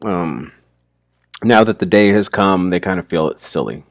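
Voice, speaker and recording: A man's voice: low-pitched, normal speed, no room sound. A man giving casual, explanatory commentary on a story, like a teacher.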